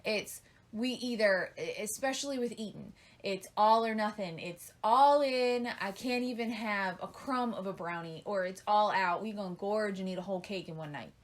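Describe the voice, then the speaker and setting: A woman co-hosting a podcast with her husband, laughing often and gently teasing and correcting him. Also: mid-range voice, normal speed. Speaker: co-host, the host's wife. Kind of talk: casual chat with her husband.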